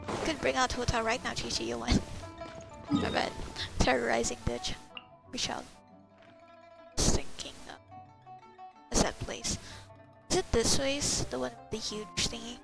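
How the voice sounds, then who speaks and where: A woman's voice: fairly high pitch, unhurried, vocal fry, pauses often, music in the background. A woman giving casual live reactions as she plays a game, talking to herself and repeating words. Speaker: gamer playing a story quest.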